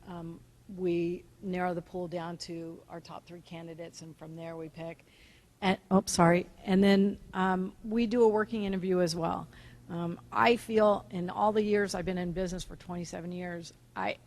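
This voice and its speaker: Loud woman from the audience, lowish voice, lots of ums. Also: fairly even intonation, moderate pace. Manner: loud, with many "um" fillers. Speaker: clinic owner in the audience. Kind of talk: sharing experience from the audience. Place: conference room.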